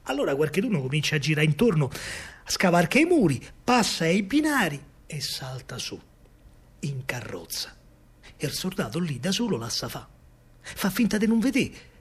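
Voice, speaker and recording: An actor playing an excitable working-class man with a Roman accent and a husky voice, recounting wartime scavenging in a colloquial theatrical monologue. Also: on the high side, moderate pace, exaggerated voice. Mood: vivid, suspenseful. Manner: animated storytelling, colloquial.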